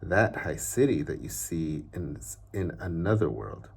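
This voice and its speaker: A man with a very low, husky voice reads a children's storybook aloud. His phrasing is measured and deliberate, and the ends of his lines often drop away softly. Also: big pitch swings.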